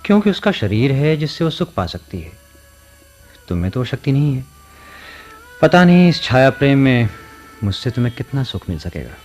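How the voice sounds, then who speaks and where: A man's voice: medium pitch, very animated, normal speed, pauses often, darkish tone, lo-fi sound, faint music behind. An actor playing an earnest, questioning man courted by a ghost in a radio drama, with a theatrical delivery. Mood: hesitant, gentle. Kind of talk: Hindi radio play acting.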